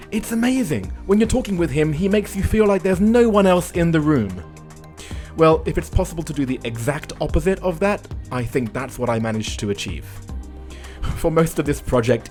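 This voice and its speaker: A man's voice: mid-range voice, a little mellow. A man hosting a podcast, introducing the show and interviewing a guest with dry, self-deprecating humor. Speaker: podcast host. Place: Shanghai.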